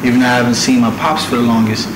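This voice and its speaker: A young man from Nigeria who speaks with a relaxed American accent, tossing in slang and a steady "man" as he chats with his interviewers. His voice sits at an ordinary middle pitch. The room around him is echoey, with a steady noise underneath. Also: racing. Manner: laid-back slangy talk, often ending with "man". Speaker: musician guest. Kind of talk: casual interview chat. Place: studio. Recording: roomy studio with background noise.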